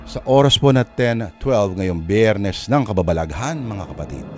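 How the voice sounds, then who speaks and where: A low-voiced man narrates a radio drama as host, theatrical and full of suspense. He speaks slowly with big swings in pitch, over clear background music. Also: animated.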